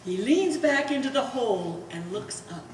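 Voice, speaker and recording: A woman's voice: on the high side, animated, normal speed, recorded from a distance. A woman reading stage directions as scripted narration at a live play reading. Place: art gallery performance space. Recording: echoey hall from afar, faint noise.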